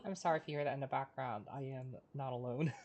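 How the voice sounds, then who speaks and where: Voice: medium pitch, steady tone, fast, a little mellow. A streamer giving casual live commentary while playing a video game.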